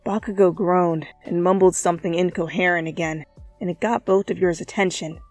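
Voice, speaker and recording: A woman's voice: on the low side, steady tone, brisk, darkish tone, dry recording. A woman reading fanfiction as a channel host, with dramatic narration and goofy, self-mocking, casual asides. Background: faint music.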